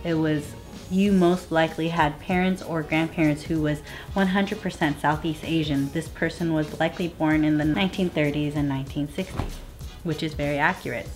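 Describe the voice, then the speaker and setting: Low-pitched, playful woman chatting over music. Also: steady tone, normal speed. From Vietnamese family. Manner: playful, teasing. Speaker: vlog co-host. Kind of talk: casual chat, reading results aloud.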